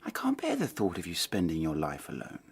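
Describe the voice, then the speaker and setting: An actor playing an earnest, protective, lovelorn Victorian gentleman, speaking with halting passion in a radio drama. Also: low-pitched, wildly swooping pitch, a little hiss or hum, full character voice. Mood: pleading, protective. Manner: impassioned, halting.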